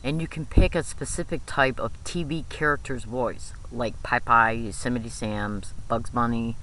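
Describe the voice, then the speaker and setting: Bright, forceful man explaining insistently. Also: mid-range voice, fairly even intonation, moderate pace, slight background noise, poor audio. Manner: insistent, repetitive explaining with frequent okay check-ins. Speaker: video host. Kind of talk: explanatory monologue to camera.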